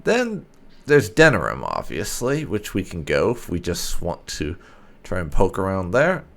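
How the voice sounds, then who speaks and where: Low, gravelly man with very animated pitch. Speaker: gaming video host. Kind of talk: casual gameplay commentary with light roleplay.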